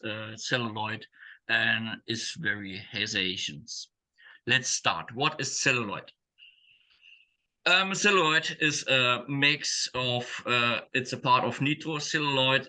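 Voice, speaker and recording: A man gives an online talk in English, pausing often to find his words. His voice is very bright and forward. The pitch sits right in the middle for a man. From Germany. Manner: halting, searching for words, frequent fillers. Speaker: accordion shop owner and presenter. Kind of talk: webinar presentation.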